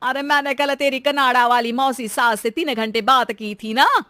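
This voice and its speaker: A woman's voice: sits high, moderate pace, bright, forceful tone, no echo, clean studio sound. A woman voicing a demanding, sly older mother-in-law in a cartoon story, bossy and wheedling. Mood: demanding, baffled.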